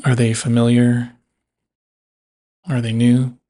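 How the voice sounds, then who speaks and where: A man guiding a body scan meditation in a measured way, leaving long pauses between phrases. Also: medium pitch, completely flat delivery, dark tone. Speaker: meditation guide.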